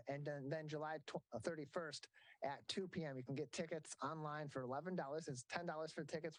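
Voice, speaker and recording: A man's voice: a little high, no room sound, poor audio. A man hosting a local TV interview, reading event dates and ticket details and correcting himself along the way. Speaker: host.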